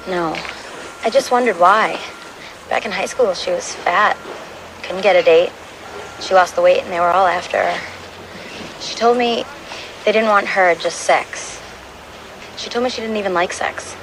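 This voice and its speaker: A woman's voice: mid-range voice, a little slow, long pauses, natural voice. A woman playing a guarded, blunt American sister in her twenties, speaking in clipped sentences in a crime audio drama. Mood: blunt, bitter. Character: guarded, blunt 21-year-old sister of a murder victim. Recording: clear outdoor background noise.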